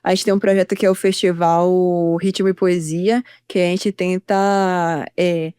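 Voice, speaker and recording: A woman's voice: fairly low pitch, level tone, unhurried, warm tone, clean tone, no room sound, clean studio sound. A young adult woman from Bahia, speaking as a podcast guest in an earnest, assertive and fluent way. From Itacaré, Bahia, Brazil. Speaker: guest artist. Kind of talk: podcast conversation.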